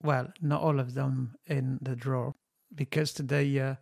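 Slow, gravelly-voiced man on a podcast mic. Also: mid-range voice, level tone, a little mellow. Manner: slow, halting, many fillers, non-native phrasing. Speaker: podcast host testing microphones. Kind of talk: casual spoken talk. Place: home studio desk. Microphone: dynamic studio microphone, close.

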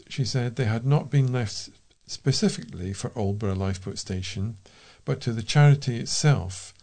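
A man reading local news aloud as a volunteer newsreader, in a measured, formal way. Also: fairly low pitch, warm tone.

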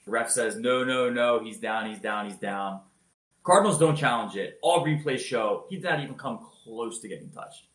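A man telling football highlights in a casual podcast chat, energetic and loud-voiced. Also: normal speed, reverberant. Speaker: podcast co-host. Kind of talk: casual sports recap.

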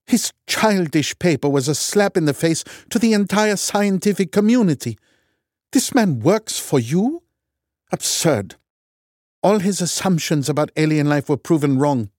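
Brief: dour Norwegian woman scientist, dismissive and high-pitched, put on by a man. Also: expressive, measured pace, a little mellow, no echo, studio quality. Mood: scornful. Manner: condescending, dismissive.

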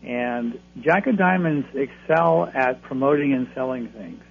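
Gravelly man on a phone line. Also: mid-range voice, unhurried. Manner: reassuring, advisory. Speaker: astrologer guest. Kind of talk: call-in radio readings. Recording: phone line, faint hum.

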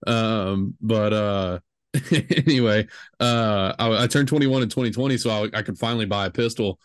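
A man's voice: lowish voice, moderate pace, smooth, no room sound, clean studio sound. A man in his twenties hosting a podcast, chatting casually and rambling with lots of fillers and jokes. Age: 20s. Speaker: podcast host.

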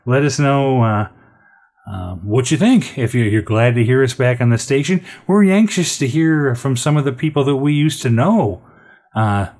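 A man's voice: medium pitch, darkish tone. A man hosting a radio show in a casual, conversational sign-off style with a folksy, hesitant manner.

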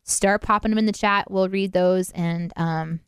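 A woman's voice: medium pitch, steady tone, measured pace, clean tone, dry recording, studio quality. A woman co-hosting a live stream in casual, giggly chat. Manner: chatty, giggly.

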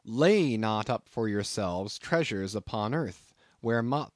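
Man playing a pious old skinflint captain, sepulchral, drawn-out delivery, solemn archaic preaching, audiobook. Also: lowish voice, animated, darkish tone, no echo, studio quality. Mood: droning, sepulchral. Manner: sepulchral, slow, sermonizing, archaic thee and thou. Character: stingy, pious, solemn old Quaker shipowner. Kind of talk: audiobook character acting.

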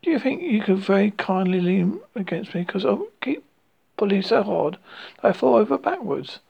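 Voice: medium pitch, big pitch swings, moderate pace, creaky, husky, dull audio, full character voice. A storybook reader voicing a bossy, matter-of-fact young boy, in a plain, offhand way close to the reader's own voice. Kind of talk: storybook reading.

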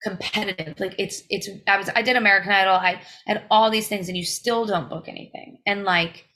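A woman's voice: medium pitch, steady tone, brisk, sharp tone. A woman singer as a podcast guest, talking in emphatic bursts full of fillers and restarts. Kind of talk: casual interview chat.

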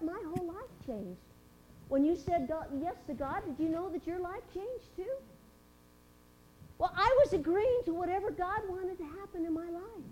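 Mary monologue: woman playing young biblical mother, very high voice, faint hiss behind. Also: a little mellow, rough recording. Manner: earnest, wondering, emotional storytelling. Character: Mary, young mother of Jesus, awed and earnest. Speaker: dramatic monologue performer. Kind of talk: first-person biblical character monologue.

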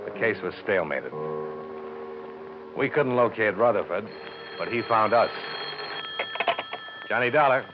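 An actor playing a tough, matter-of-fact investigator who narrates a 1950s radio drama in a clipped, dry way. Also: male voice, on the low side, animated, measured pace, long pauses, over music. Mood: dry, hard-boiled. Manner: clipped, dry, hard-boiled. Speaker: lead detective and narrator. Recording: muffled, hissy 1950s radio recording.

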